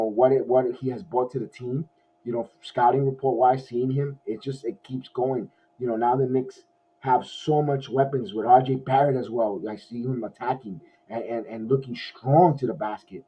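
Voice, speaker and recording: A man hosting a casual post-game sports podcast, his voice hoarse, rambling with many restarts like an excited fan. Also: mid-range voice, moderate pace. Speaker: podcast host. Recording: dull lo-fi audio.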